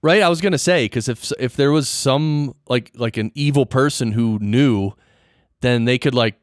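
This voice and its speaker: Guy hosting a podcast, casual chat, middle pitched voice, super dry studio sound. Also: normal speed, dry recording. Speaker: podcast host.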